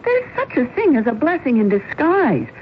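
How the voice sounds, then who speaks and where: A woman's voice: high voice, very animated, moderate pace. An actress playing a brusque, skeptical mature woman in a radio drama, with a dry, sardonic delivery. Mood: dry, impatient. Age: middle-aged. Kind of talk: 1970s radio drama acting. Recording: muffled, hissy old radio recording.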